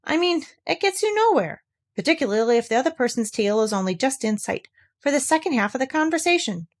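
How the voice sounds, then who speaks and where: Woman voicing a gloomy, aggrieved old donkey, swooping in pitch. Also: very animated, a little fast. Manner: ponderous, complaining, formal wording. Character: gloomy, self-pitying old male donkey, sarcastically aggrieved. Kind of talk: children's storybook read aloud.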